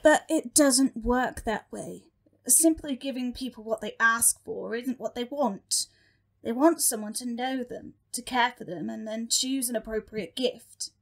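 A meek little demon underling, acted by a woman for a comic audio play. The voice sits a bit high and moves slowly, hesitating with earnest care over each thought. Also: gravelly. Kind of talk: comic audio drama acting.

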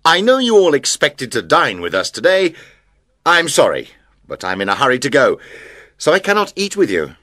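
A brash, domineering young husband in an audiobook tale, acted out by a woman. He is imperious and sly, stressing his words, and his pitch swings enormously from low to high. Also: huge pitch swings, moderate pace, fairly bright tone, heavily acted. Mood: breezy, firm. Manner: imperious, emphatic. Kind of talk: dramatised audiobook character voice.

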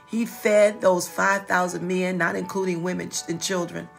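A woman's voice: lowish voice, fairly even intonation, moderate pace, vocal fry, faint music behind. A middle-aged woman preaching informally as a pastor, repeating phrases for emphasis, with a slight stutter. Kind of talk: informal livestreamed sermon. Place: small wood-paneled office.

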